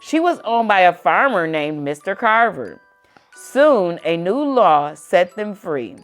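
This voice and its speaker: Slow-paced woman reading a children's story. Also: fairly low pitch, big pitch swings. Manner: slow, simple phrasing with questions aimed at a young child. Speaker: host reading a children's book aloud to a child. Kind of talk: read-aloud storytelling with simple asides to a child. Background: background music.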